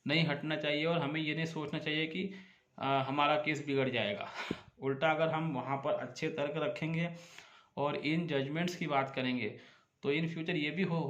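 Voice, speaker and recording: A man's voice: on the high side, a bit bright, dull audio. A man explains law informally to viewers as a host and advocate, arguing emphatically and posing rhetorical questions. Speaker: advocate giving legal advice as channel host. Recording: echoey, roomy sound.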